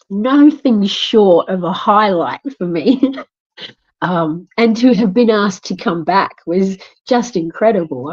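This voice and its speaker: A woman guest in an interview, speaking in a heartfelt, grateful way with soft laughs. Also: mid-range voice. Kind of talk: conversational interview. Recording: muffled lo-fi audio.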